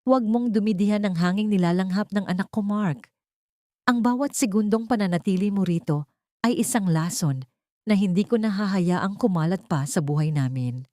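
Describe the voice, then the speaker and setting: A woman voicing a young mother who speaks in a hushed, steely tone, in a storybook reading. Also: mid-range voice, normal speed, no echo, clean studio sound, natural voice. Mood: cold resolve. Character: young mother, weak but fiercely protective.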